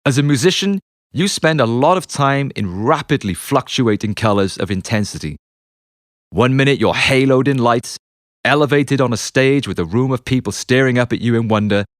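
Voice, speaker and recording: A man reading his own memoir as an audiobook, in an expressive, confessional storytelling manner with dramatic pauses. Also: medium pitch, dry recording, studio quality. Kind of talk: scripted memoir narration. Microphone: close, dry studio narration mic.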